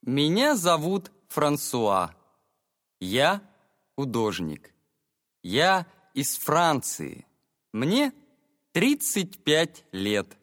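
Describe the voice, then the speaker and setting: A man reads short self-introductions for a language listening test, clearly and slowly. His pitch rises and falls widely across each sentence. The dry studio sound carries a long reverb tail. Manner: clear, expressive exam-style delivery. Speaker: voice actor for a listening exam. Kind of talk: slow, carefully read exam monologue.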